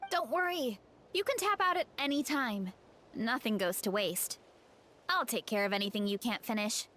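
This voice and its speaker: A woman's voice: on the high side, normal speed, slight background noise. An actress voicing a friendly, easygoing young woman from a tribe who cooks and laughs easily. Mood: reassuring. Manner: relaxed, generous, laughs easily. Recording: game audio with faint background music.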